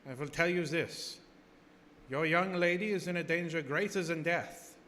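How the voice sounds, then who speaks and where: An actor playing an ominous, solemn professor with portentous, emphatic phrasing in a live radio horror drama. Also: male voice, a little high, normal speed, pushed and bright. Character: ominous, solemn professor, male vampire expert. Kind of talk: old-time radio horror drama acting. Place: live broadcast from a theater. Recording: reverberant theater stage, clear background noise.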